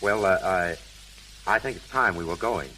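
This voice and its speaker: An actor in a 1930s radio drama playing a terse, guarded gang boss who passes as a gentleman. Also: low voice, normal speed, poor audio. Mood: guarded, uneasy. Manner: terse, evasive, hesitant. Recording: hissy, humming 1930s radio broadcast.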